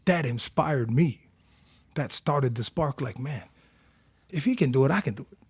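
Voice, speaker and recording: Interview guest: husky man in his forties from Tonga, animated storyteller, dry close mic. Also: mid-range voice, moderate pace, no room sound. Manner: animated storyteller, acts out quotes.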